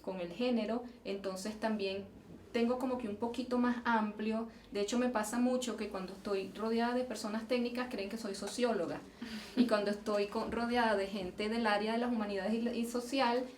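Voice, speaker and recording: A woman's voice: a little high, steady tone, moderate pace. A Venezuelan woman, a geological engineer, answering interview questions in a thoughtful way, often restarting and repeating words. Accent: Venezuelan Spanish. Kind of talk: conversational interview. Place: event venue, indoors. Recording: roomy, distant mic, faint noise.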